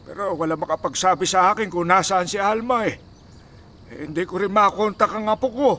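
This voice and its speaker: High-voiced pleading old Filipino grandfather. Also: a little fast, pushed and bright. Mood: worried pleading. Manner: imploring, emotional. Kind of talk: Filipino radio drama acting.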